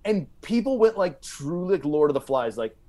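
A man with a slightly high, very animated voice tells stories like a comedian guesting on a show. His delivery is halting, with repeated words and plenty of "like" as he builds the tale. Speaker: podcast guest, stand-up comedian. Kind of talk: animated comic storytelling.

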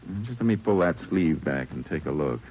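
An actor playing a calm, methodical, kindly Texas Ranger who narrates and acts in an old radio drama. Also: male voice, deep voice, a little fast. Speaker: lead and narrator. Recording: muffled, noisy old radio recording.

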